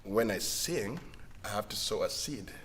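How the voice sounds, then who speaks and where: Man, Rwandan accent, deep low voice, halting casual talk, faint background hiss. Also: animated, a bit bright. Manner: halting, restarts phrases, laughs often. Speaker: singer-songwriter guest. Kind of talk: casual livestream conversation.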